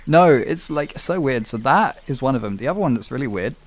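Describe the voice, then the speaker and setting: A man's voice: mid-range voice, lively intonation, fairly quick. A man with a British accent hosting a livestream, chatting casually and rambling informally with some swearing. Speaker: livestream host. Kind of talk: casual chat with stream viewers.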